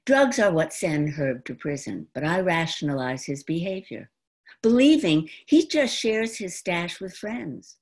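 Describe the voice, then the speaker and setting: Older woman, lower-pitched voice, very unhurried pacing, expressive theatrical storytelling, deliberate dramatic delivery. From New York, United States. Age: older, around 70. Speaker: stage actress performing an autobiographical monologue. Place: indoor room at home, before a folding screen. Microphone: computer video call microphone.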